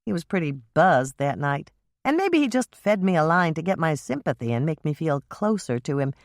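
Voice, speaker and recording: A woman's voice: low voice, sing-song, normal speed, dry recording, studio quality. A woman reading an audiobook and voicing a confiding woman who talks hesitantly and earnestly.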